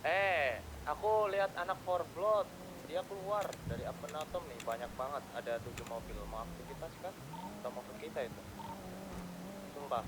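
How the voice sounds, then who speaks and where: A man's voice: on the high side, moderate pace, noisy background. A man with an Indonesian accent casually reporting to teammates over game voice chat. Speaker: fellow player in online roleplay game.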